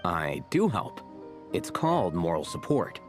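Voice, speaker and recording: Aloof young scholar for a game: lowish voice, unruffled, precise, deadpan wit, over music. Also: male voice, a little slow. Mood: unruffled, wry. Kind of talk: video game character acting.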